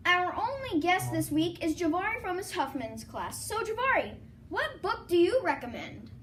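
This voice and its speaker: A woman's voice: very high-pitched, big pitch swings, normal speed, a bit bright, recorded from a distance, slight background noise. A young girl co-hosting a school news broadcast, reading in a sing-song way. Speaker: student co-anchor.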